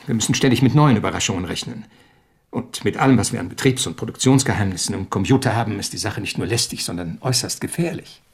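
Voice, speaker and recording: An actor playing a middle-aged corporate executive in a radio drama, speaking in a formal, businesslike and insistent way. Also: male voice, fairly low pitch, quick pace, breathy edge. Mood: grave, urgent.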